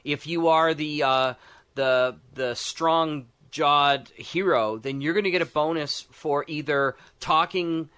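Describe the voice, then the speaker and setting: A man in a casual discussion of tabletop game design, talking in a halting way as he thinks aloud and often checks for agreement. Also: fairly high pitch, sharp tone, clean tone. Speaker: podcast co-host.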